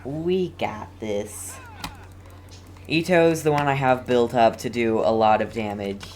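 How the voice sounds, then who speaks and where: A video game streamer giving casual live commentary and chatting with viewers, halting and often repeating words. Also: medium pitch, darkish tone.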